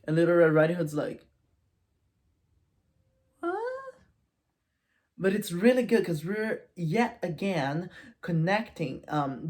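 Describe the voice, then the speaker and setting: A woman casually retelling and analysing a story in an informal, animated storytelling manner. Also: sits low. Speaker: video essayist and book reviewer.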